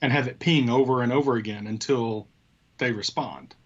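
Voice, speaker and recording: A man co-hosting a casual tech discussion, talking in a halting way as he thinks aloud. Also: mid-range voice, fairly bright tone, breathy edge, muffled recording. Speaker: co-host of a tech show.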